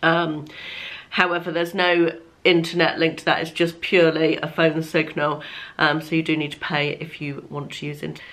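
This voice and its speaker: A woman talks straight to camera in an easy, chatty vlog. Her voice sits a little low and sounds slightly croaky, and she is self-deprecating, laughing between phrases. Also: level tone, normal speed, a bit bright. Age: 50s. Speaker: lifestyle vlogger. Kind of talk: casual chat to camera.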